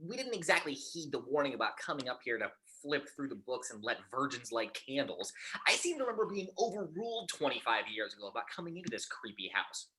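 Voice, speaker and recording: A man's voice: medium pitch, big pitch swings, fast, sharp tone, a little hiss or hum, rough recording. A man voicing an exasperated, flippant adult woman, offhand and argumentative, in a script table read. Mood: defensive.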